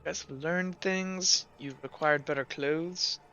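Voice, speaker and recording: A man acting as game master, chatting casually and narrating in an informal, halting way as he thinks aloud. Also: fairly high pitch, bright, forceful tone, muffled recording, slight background noise.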